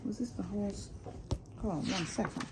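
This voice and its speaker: A woman's voice: mid-range voice, brisk, very noisy. A woman storyteller reading a children's storybook aloud. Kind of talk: storybook narration read aloud.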